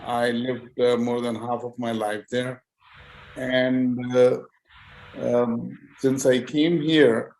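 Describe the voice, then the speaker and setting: A man's voice: medium pitch, flat delivery, slow pace, a little mellow, low-quality recording. A man who is a physician and YouTuber, answering interview questions hesitantly with many fillers and restarts on a video call. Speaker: guest speaker, physician and YouTuber.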